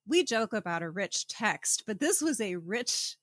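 A woman's voice: mid-range voice, very animated, unhurried, a bit bright, dry recording. A woman co-hosting a podcast, giving casual book commentary with dry irony and rambling tangents. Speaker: podcast co-host.

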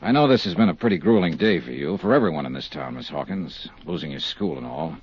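An actor playing a tough, persistent insurance investigator in a 1950s radio drama, with a clipped, direct, probing delivery. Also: male voice, very deep voice, animated, fast talker, slight background noise. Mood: insistent. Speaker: lead detective and narrator. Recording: muffled 1950s radio recording.

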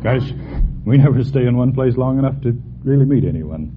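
A man's voice: medium pitch, animated, fairly quick. A man in his twenties from Colorado, a truck driver giving brief answers as a quiz show contestant. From Colorado, United States. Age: 20s. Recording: tinny noisy old radio, audience behind.

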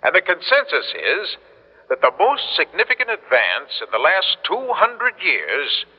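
An actor playing an earnest, insistent official in a radio drama, speaking formally and urgently on a dull, reverberant old recording. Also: male voice, on the high side, huge pitch swings, measured pace, breathy edge, exaggerated voice. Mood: earnest, explaining. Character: earnest, insistent male official calling from the future. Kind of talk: classic radio drama acting.

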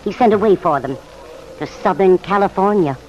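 An actress playing a kindly, proud older mother in an old-time radio serial, speaking gently and unhurriedly. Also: mid-range voice, normal speed, muffled recording, lightly acted. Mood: warm, fond. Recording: noisy vintage radio broadcast.

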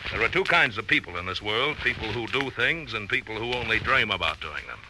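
A man playing a self-satisfied, patronising middle-aged businessman who lectures briskly in a 1940s radio drama. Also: fairly low pitch, lively intonation, lightly acted. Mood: smug, lecturing. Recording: noisy, crackly old radio recording.

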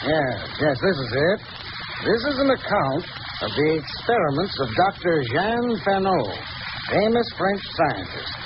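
Pedantic professor for radio mystery: slightly high voice, formal explanatory delivery, dull vintage sound. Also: male voice, sing-song. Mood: scholarly, absorbed. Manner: formal, deliberate lecturing. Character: learned, pedantic male professor explaining scientific lore. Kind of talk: 1940s radio mystery acting. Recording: muffled, noisy old radio recording.

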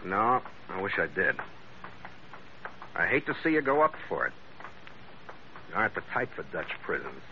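A hard-boiled American sea captain in a 1940s radio adventure. His delivery is clipped and wry, swinging widely in pitch. The old recording is muffled, with heavy noise. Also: big pitch swings, normal speed, long pauses. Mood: tough, regretful. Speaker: lead actor and narrator.

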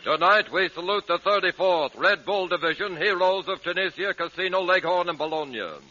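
High-voiced declamatory male radio announcer. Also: moderate pace, loud music behind the voice. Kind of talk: solemn 1940s radio tribute announcing. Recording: noisy muffled 1940s radio recording.

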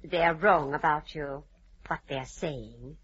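An actress playing a sweet, fluttery, hesitant gentlewoman in an old-time radio drama. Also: sits low, slow pace, breathy edge, heavily acted. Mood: tender, hesitant. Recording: muffled old radio recording.

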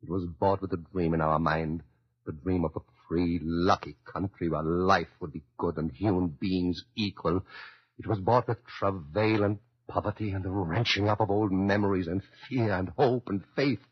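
A man's voice: deep voice, fairly even intonation, moderate pace, slightly rough. An actor in a 1940s radio drama playing a defiant immigrant American man addressing a dictator, declamatory and impassioned. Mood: solemn. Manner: impassioned, declamatory, building to shouts. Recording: lo-fi 1940s radio broadcast with orchestral music.

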